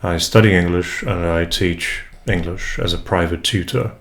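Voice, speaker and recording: Man, young, from Brazil, Portuguese first language, very low voice, halting and thoughtful, home desk mic. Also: steady tone, fairly bright tone. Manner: hesitant, many fillers and restarts, reflective. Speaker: English tutor and vlogger. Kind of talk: casual first vlog introduction. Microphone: USB desk mic, unprocessed.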